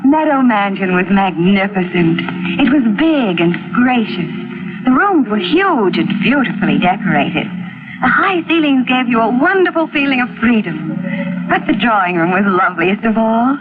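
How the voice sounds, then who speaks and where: An actress playing a fragile, haunted young wife who narrates in a dramatic 1940s radio mystery style. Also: female voice, a little high, very animated, moderate pace. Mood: dreamy, delighted. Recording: tinny vintage radio recording with loud music.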